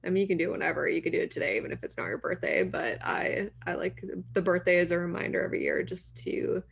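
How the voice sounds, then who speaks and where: Woman late 20s, podcast cohost, lots of vocal fry, casual and encouraging, says like a lot. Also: lowish voice, big pitch swings, fast. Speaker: podcast co-host interviewing her co-host.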